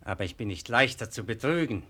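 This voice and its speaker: Husky, deep-voiced old ruler. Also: male voice, lively intonation, a little fast, pushed and bright. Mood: brooding. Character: old, weary, suspicious Malay ruler who wants peace. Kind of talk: German radio play acting.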